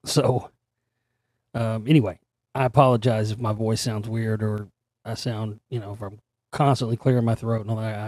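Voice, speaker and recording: A middle-aged man hosting his own show, his voice hoarse and still recovering, rambling casually with fillers and the odd curse word. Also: on the low side, darkish tone, no echo. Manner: rambling, conversational, frequent fillers and mild swearing. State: hoarse, voice recovering after straining it. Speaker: radio show host. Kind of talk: casual solo talk show monologue.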